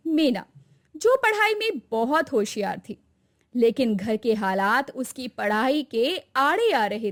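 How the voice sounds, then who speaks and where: A woman narrating a dramatic scripted story as the storyteller. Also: high voice.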